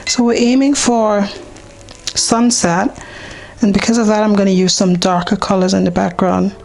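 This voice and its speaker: Hoarse woman talking through a painting. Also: medium pitch, fairly even intonation, a little mellow, low-quality recording. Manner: unhurried, talks through each step with many ums. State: hoarse, partly lost voice. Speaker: painting teacher. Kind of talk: casual step-by-step painting demonstration.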